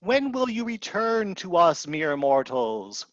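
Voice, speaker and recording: A man's voice: high voice, big pitch swings, takes it slowly, fairly bright tone, no echo, exaggerated voice. A middle-aged man acting a grandiose, anguished stranded soul in a radio play, declaiming theatrically into a headset on a video call. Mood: lofty. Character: grandiose, anguished stranded man given to doom-laden lament. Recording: dull, lo-fi video-call sound.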